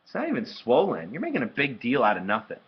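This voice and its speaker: A practical young girl from a storybook, played by a man, exasperated with her brother and insistent in a matter-of-fact way. The voice is husky and moves freely up and down in pitch. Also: huge pitch swings, fast, lo-fi sound, heavily acted.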